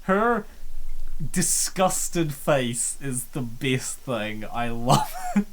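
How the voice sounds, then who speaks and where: A let's-play host chatting casually over a visual novel. They read the on-screen text aloud and react along the way, at a medium pitch. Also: very animated, very slow, slight background noise. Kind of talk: casual game commentary.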